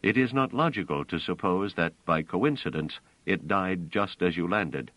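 A man voicing a cold, logical male guardian robot in a storybook reading, speaking in a measured, incisive way. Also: low voice, expressive, a bit bright, no room sound, poor audio.

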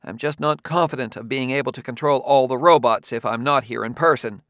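A man reading an audiobook, voicing a brisk, matter-of-fact woman who runs a children's farm, easily exasperated. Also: mid-range voice, a bit bright, no room sound, natural voice. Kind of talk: audiobook character voice.

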